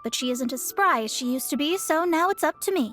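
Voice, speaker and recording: Haughty young astrologer, formal and sure of herself, pretty high voice, quiet game music. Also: female voice, lively intonation, brisk. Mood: scheming. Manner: lofty formal speech, proud. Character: proud young female astrologer, formal and self-assured. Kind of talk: video game dialogue. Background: background music.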